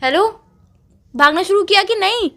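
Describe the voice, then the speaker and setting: A woman's voice: extremely high pitch, expressive, moderate pace, a bit bright. A young woman playing a girlfriend on a staged couple phone call, talking in an urgent, insistent and dramatic way.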